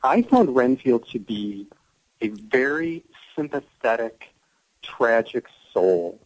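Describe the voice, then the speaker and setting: A man's voice: mid-range voice, expressive, slow, dry recording, rough recording. A middle-aged American man from the Chicago area, an actor telling anecdotes as a radio guest, effusive and dramatic in his emphasis. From Chicago area, United States. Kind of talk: radio interview, anecdotal storytelling.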